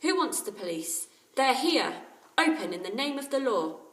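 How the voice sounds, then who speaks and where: A woman voicing a loud, imperious man playing at policeman in a storybook. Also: fairly high pitch, big pitch swings, moderate pace, pitched above the performer's own voice. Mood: mock stern. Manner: loud, commanding. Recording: roomy echo, distant microphone.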